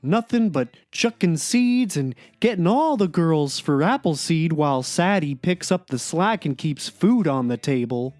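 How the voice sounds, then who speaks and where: A man playing a gloomy, sardonic cartoon blogger in a dramatic reading, deadpan and aggrieved. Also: on the high side, a little slow, hardly any pauses, no echo. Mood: aggrieved, wry. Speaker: performer in a podcast dramatic reading. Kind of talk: dramatic reading of a comic blog.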